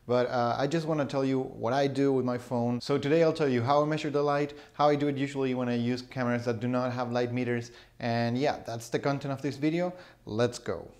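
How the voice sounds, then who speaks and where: A man's voice: mid-range voice, fairly even intonation, brisk, few pauses, darkish tone. A man in his thirties chatting casually to camera in a small room, explaining things with fillers and little laughs. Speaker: photography vlogger. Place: small indoor room with shelves. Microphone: camera in front, close framing.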